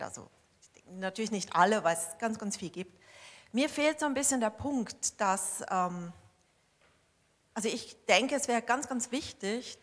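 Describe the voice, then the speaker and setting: A woman in the audience of a lecture, making a spontaneous comment and question. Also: mid-range voice. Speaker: audience member asking a question. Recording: reverberant hall sound.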